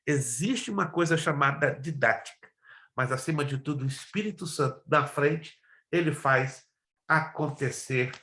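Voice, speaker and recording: Male pastor-teacher, Brazilian accent, native Spanish speaker. Slightly high register, exhorting and repetitive delivery, echoey room sound. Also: fairly bright tone. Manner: emphatic, exhorting, repeats phrases, puts questions to listeners. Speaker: pastor teaching a theology class. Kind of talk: preacherly lesson with personal testimony. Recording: roomy, echoing sound.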